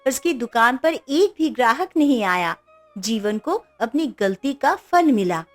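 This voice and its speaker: A woman's voice: fairly high pitch, expressive, unhurried, faint music behind. A woman narrating a children's moral story in a scripted storytelling style.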